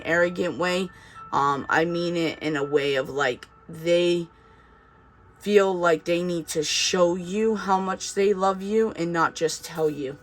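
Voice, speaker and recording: A man's voice: a little high, a little hiss or hum. A man with a slight Boston accent giving a casual, reassuring tarot reading, talking to viewers with many fillers and asides. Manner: chatty, reassuring, with frequent fillers and laughs. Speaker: tarot reader.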